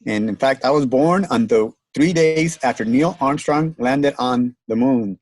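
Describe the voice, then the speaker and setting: A man's voice: mid-range voice, a little slow, darkish tone, no room sound. A man in his fifties who grew up in Mexico, chatting in a laid-back way on a video call and laughing easily. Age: 50s. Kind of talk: casual chat on a video call.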